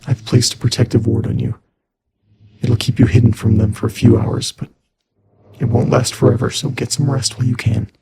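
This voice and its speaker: A mysterious male shadow spirit, acted by a man for an audio drama. The voice is very husky and crackles with fry, staying fairly even in pitch. He speaks softly and gently, like a secretive protector. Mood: gentle. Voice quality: breathy, raspy. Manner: soft-spoken, measured, reassuring.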